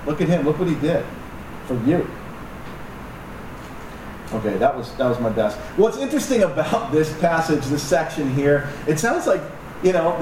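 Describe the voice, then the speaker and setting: Pastor guy preaching, jokey and chatty, fairly high voice, sounds far off in a roomy hall w/ background noise. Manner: conversational and joking, with asides to the congregation. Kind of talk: sermon. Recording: echoey room, distant mic, noisy.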